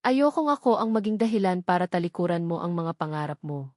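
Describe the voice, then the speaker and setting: A woman's voice: fairly low pitch, animated, fairly quick, a little mellow, no room sound, clean studio sound, close to an everyday voice. A woman voicing a frightened, defensive single mother burdened with guilt in a romantic drama story. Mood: tearful, guilty.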